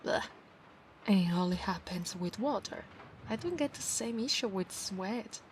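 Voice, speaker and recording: A proud, battle-hungry female centaur knight, acted by a woman with an Italian accent and a mid-pitched voice. She speaks softly and intimately in an ASMR roleplay, with formal, grandiose earnestness. Faint ambient noise sits behind her. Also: expressive, a little slow, close to an everyday voice. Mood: conversational, earnest. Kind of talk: ASMR monster roleplay, soft intimate delivery to listener.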